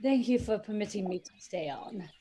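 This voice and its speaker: An actress playing a formal, deferential housekeeper in a radio play. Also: female voice, medium pitch, expressive, moderate pace, warm tone, slightly rough.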